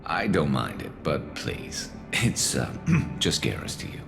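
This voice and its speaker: A man playing a weary, wry alien vigilante sniper in a video game, speaking in a dry, laconic, gravelly way. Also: sing-song, unhurried, heavily acted. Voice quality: gravelly, low. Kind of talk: video game cutscene acting. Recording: music behind the voice.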